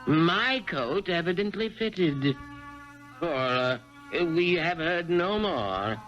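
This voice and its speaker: Radio play: blustering, lecherous old general, slow delivery, muffled old broadcast. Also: male voice, fairly high pitch, lively intonation, fairly bright tone, soft background music. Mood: rueful confiding. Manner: blustering, pompous, hemming. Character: pompous, blustering older Russian general, self-important and lecherous. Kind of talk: 1970s radio drama acting.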